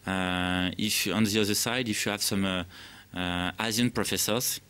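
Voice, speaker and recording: A man with a French accent giving interview answers as a program director in English that is not his first language, halting as he searches for words. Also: low voice, fairly even intonation, bright, forceful tone, clean tone.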